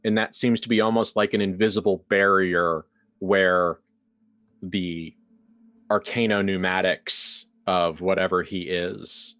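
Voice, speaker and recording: A man's voice: low-pitched, fairly even intonation, unhurried, a bit bright, lo-fi sound. A man improvising narration for a tabletop roleplaying game in a casual way, thinking aloud as he goes. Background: music.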